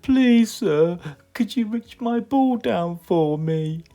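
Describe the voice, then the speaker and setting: A man plays a small British boy in a children's tale. He begs tearfully and politely in a lifted, urgent high voice. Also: lively intonation, takes it slowly, dark tone, faint music behind, heavily acted. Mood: tearful pleading. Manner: pleading, tearful.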